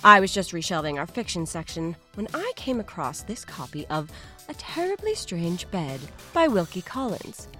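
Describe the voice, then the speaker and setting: A woman's voice: lowish voice, very animated, normal speed, few pauses, bright, forceful tone, soft background music. A woman delivering a scripted promo as a host in a playful, theatrical, exaggerated manner. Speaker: audio drama host introducing another podcast.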